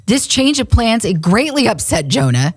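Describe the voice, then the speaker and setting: A woman's voice: on the high side, big pitch swings, normal speed, husky, dry recording, clean studio sound. A woman co-hosting a faith podcast, chatting casually and laughing often as she retells a Bible story. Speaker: radio and podcast co-host. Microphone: studio microphone.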